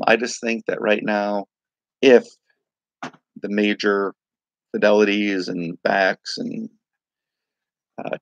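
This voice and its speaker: A man with a raspy voice from a night of singing, hosting a casual crypto livestream, rambling loosely and greeting viewers by name. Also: on the low side, no echo. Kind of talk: casual live-stream chat.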